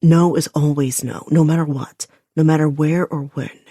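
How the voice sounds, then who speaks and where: Woman voicing a deeper-toned man, earnest, apologetic, pleading, dry close sound. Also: fairly even intonation, moderate pace, mellow, no room sound. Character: remorseful, anxious man pleading.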